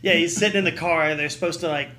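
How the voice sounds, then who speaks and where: A man chatting casually as a podcast co-host, telling things in an animated way. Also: a little high, racing. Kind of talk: casual chat.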